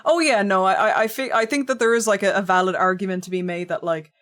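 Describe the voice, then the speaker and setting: A woman's voice: medium pitch, steady tone, fast talker, a bit bright. A young woman chatting casually with her viewers while she plays, wry and rambling, often restarting her sentences. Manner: chatty, wry, frequent self-corrections. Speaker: streamer. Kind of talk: casual gameplay commentary, reading chat. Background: game music.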